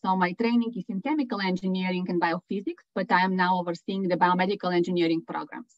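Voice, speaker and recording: A woman's voice: lowish voice, fast talker. Lady prof explaining her program, earnest, muffled call sound. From Bulgaria. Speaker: engineering professor. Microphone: video call. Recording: dull video call audio.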